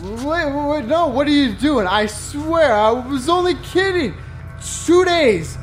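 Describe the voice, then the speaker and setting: A desperate debtor in an audio drama. The voice sits fairly high and drags slowly, halting and groaning as it pleads and bluffs. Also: female voice, animated, faint music behind. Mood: desperate pleading.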